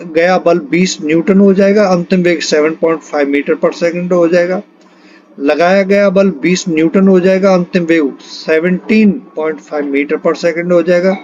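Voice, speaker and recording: Higher-pitched man teaching, dull lo-fi sound. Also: darkish tone. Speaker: exam-prep physics teacher. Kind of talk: lesson reading out multiple-choice questions and answers.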